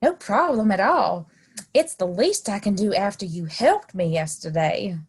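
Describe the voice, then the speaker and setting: A woman playing a friendly, bold frontier townswoman in a western audio play, slightly husky and chatty. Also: medium pitch, expressive, measured pace. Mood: playful, friendly.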